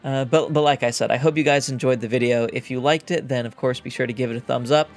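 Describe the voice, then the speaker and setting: A man's voice: mid-range voice, steady tone, fast. Man hosting casual game commentary over music. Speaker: gaming YouTuber, host.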